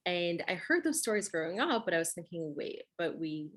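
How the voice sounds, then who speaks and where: A woman's voice: fairly low pitch, big pitch swings, a little fast. A woman who grew up speaking Russian, hosting a conversational interview and talking emphatically, often checking with "right?". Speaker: host.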